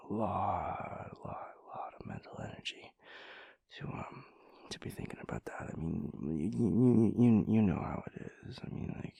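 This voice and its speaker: Groggy, gravelly boyfriend, acted by a man. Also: fairly low pitch, darkish tone, no room sound, exaggerated voice. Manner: groggy, stammering, hesitant, mock-serious. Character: sleepy, playful, chaotic boyfriend refusing to get up. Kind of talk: intimate audio roleplay, improvised rambling.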